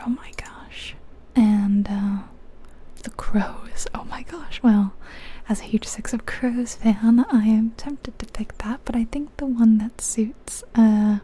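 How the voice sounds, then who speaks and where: A woman whispering gently and informally in a breathy, unhurried ASMR game commentary, close to the microphone. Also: a little high, a little hiss or hum. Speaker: ASMR gaming video host.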